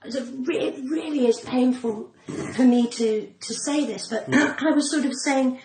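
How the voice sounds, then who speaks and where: A woman's voice: sits high, moderate pace, a little mellow. An older woman with a cut-glass English accent telling candid anecdotes as an interview guest, dramatically acting out what people said. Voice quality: cut-glass, well-spoken. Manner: dramatic, acting out quoted speech. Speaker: podcast guest, comedian and actor. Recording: roomy echo, faint background noise.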